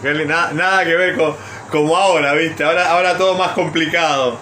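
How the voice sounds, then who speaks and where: A man's voice: on the high side, steady tone, fairly quick, sharp tone, slight background noise. A man with a Buenos Aires Argentine accent, hosting an informal conversational interview. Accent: Buenos Aires Argentine Spanish. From Buenos Aires province, Argentina. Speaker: interviewer and program host.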